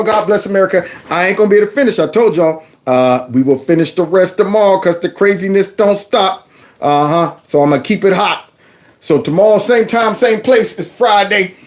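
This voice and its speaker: A middle-aged man hosting a commentary show, mocking and animated with loud outbursts. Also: high-pitched. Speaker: host. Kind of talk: commentary vlog reacting to clips.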